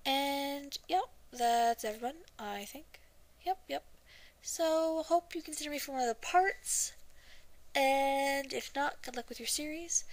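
A woman's voice: high voice, measured pace. A young woman casually introducing her amateur audition, chatty, hesitant and self-deprecating.

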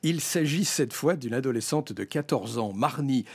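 A middle-aged man delivers a scripted radio book review in a measured, articulate storytelling way, at a studio desk microphone. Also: medium pitch, expressive, breathy edge. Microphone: broadcast desk microphone.

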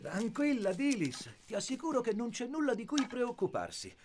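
A man's voice: very high voice, sing-song, normal speed, loud music behind the voice. An actor voicing a self-assured, fussy man who is easily exasperated, in a children's cartoon dub. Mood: confident.

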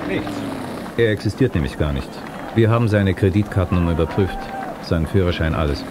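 A man's voice: low voice, lively intonation, a little fast. An actor playing a cynical, matter-of-fact henchman in an audio drama. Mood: cool, calculating. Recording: loud noise behind the voice.

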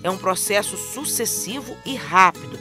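Woman narrator, steady instructional read, music bed clearly audible. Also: mid-range voice, moderate pace. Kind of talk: scripted voice-over narration. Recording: music under the voice.

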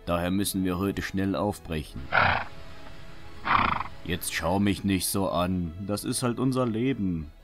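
A man's voice: low-pitched, a little slow, pauses often, music in the background. A man playing a roguish, weary travelling knight with a wry, familiar manner in an audio drama. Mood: wry, resigned.

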